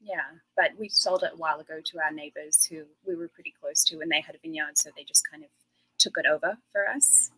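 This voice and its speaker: Bright chatty Australian woman. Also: low-pitched, fairly even intonation, a little fast, breathy edge, rough recording. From Western Australia. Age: 30s. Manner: chatty, laughs often. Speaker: guest. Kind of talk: casual interview chat.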